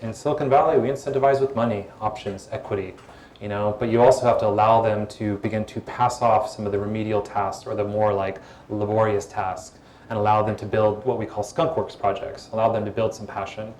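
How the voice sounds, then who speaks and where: A man answering audience questions at a startup event in a calm, relaxed, conversational way, on a mic in a hall. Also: lowish voice. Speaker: guest speaker, former social media executive. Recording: echoey event hall with faint room noise.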